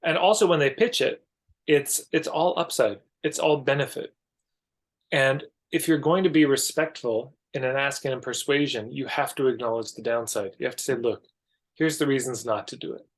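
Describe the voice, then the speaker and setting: A man's voice: medium pitch, moderate pace. Canadian man explaining ideas conversationally. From Alberta, Canada. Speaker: podcast guest, marketing coach.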